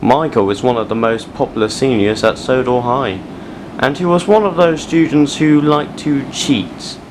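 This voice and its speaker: A man's voice: mid-range voice, normal speed, noisy background. A man delivering scripted storybook narration as the narrator of an audio drama.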